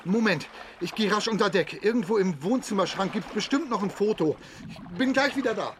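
An actor playing an earnest, insistent and anxious guardian in a children's pirate radio play. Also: male voice, high-pitched, lively intonation, a little fast, background noise. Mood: eager. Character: earnest, anxious pirate-tale family man, gentle guardian.

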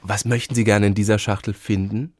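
A man hosting a radio culture program, introducing music and asking his guest measured questions. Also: lowish voice, flat delivery, darkish tone, no room sound. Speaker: radio host.

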